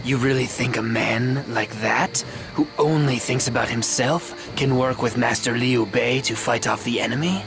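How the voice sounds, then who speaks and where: A man playing a grave, critical military advisor in a dramatic video game, in a measured, stern, emphatic manner. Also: mid-range voice, creaky, over music, natural voice.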